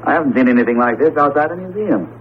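An American actor playing a genial, skeptical, middle-aged psychology professor with a wry, breezy manner in a radio drama. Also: male voice, mid-range voice, expressive, fast, natural voice. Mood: reasoning. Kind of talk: old-style radio drama acting. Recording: muffled, noisy 1970s radio broadcast.

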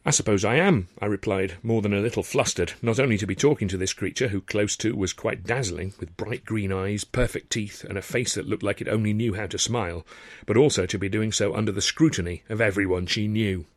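An Englishman reading his own novel as a scripted audiobook narration, with a wry, expressive, literary delivery. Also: on the low side, brisk, no room sound.

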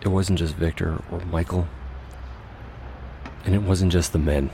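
A man playing a cold, fastidious, obsessive recluse in an audio drama monologue, speaking in a precise, deliberate, brooding way. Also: low voice, steady tone, gravelly, background noise. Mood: tormented, confessional. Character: cold, fastidious, obsessive male recluse confessing monstrous urges.

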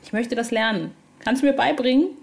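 A woman's voice: on the high side, brisk, gravelly. A woman hosting a casual podcast interview, chatting in an informal, enthusiastic way.

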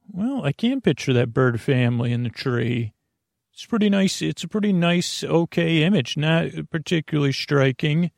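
A man with a creaky, gravelly voice delivers a meandering monologue as a sleep podcast host. He drifts into tangents and breaks off his own sentences in a halting way. The recording is dry and close, with very little room sound. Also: mid-range voice, dry recording. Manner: meandering, halting, full of tangents and self-interruptions.

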